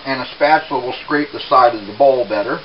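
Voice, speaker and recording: A man presenting a casual home cooking demonstration in an unhurried, explanatory way, recorded in a kitchen. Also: mid-range voice. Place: small home kitchen, indoors. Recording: dull, hissy home camera audio.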